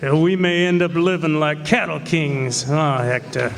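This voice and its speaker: Sly western outlaw male actor, highish voice, scheming and folksy, radio play staged in an echoey hall. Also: steady tone, a little slow, vocal fry. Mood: scheming, threatening. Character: Bogus, scheming blackmailing male cowboy outlaw and killer. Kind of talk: old-time western radio drama acting.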